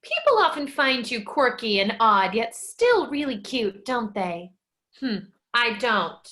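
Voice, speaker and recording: A woman acting a high-pitched, catty rich snob. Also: very animated, takes it slowly, bright, forceful tone, exaggerated voice. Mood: mock-sweet. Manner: disdainful, mock-sweet. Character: snobbish, condescending rich person, dismissive and catty. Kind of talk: staged play reading.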